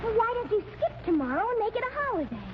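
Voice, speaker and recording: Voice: very high voice, very animated, quick pace. A young performer playing a friendly, plain-spoken small child in a 1940s radio comedy. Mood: earnest. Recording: noisy, muffled 1940s radio recording.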